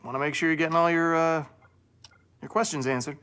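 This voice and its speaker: Evasive intelligence boss, played by a man: upbeat, quick, fairly high voice, radio drama. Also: steady tone, a bit bright, smooth, close to an everyday voice. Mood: breezy, evasive. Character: breezy, evasive male intelligence agency official. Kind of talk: audio drama acting.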